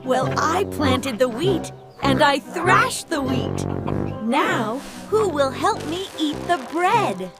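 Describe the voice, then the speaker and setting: A woman's voice: high voice, very animated, takes it slowly, heavily acted. A woman voicing a plucky, hardworking mother hen in a children's storybook tale, calling out in a singsong manner. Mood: pointed, proud. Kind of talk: children's storybook character acting. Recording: loud music behind the voice. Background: music.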